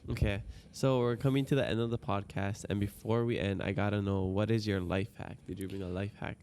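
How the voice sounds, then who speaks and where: A man's voice: lowish voice, steady tone, fairly quick, a little mellow, a little hiss or hum. A man from Hawaii whose first language is Hawaiian, hosting a podcast in a friendly way, laughing often and asking questions. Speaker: podcast host. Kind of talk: casual interview chat.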